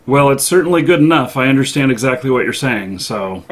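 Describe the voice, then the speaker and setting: A man's voice: medium pitch, lively intonation, a little fast. Informal male stream host, thinking aloud. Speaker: live stream show host. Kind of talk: casual live-stream interview and chat.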